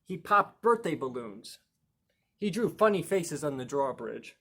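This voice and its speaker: Man reading a picture book aloud, playfully expressive. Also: fairly high pitch, vocal fry. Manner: playful, expressive, emphatic with drawn-out key words, asks listeners questions. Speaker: library storytime reader and host. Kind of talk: animated picture-book read-aloud for children, with chatty asides.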